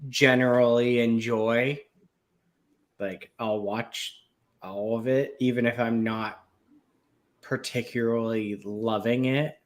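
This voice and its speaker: A man chatting casually as a panelist on a talk show, in a halting, conversational way with trailing asides. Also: mid-range voice, steady tone. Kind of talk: casual chat.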